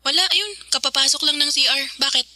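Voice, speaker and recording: A woman's voice: high-pitched, a little fast, pushed and bright, dry recording, clean studio sound. A woman playing a bubbly, teasing young best friend in a Filipino radio drama, chatty and playful. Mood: playful, giddy.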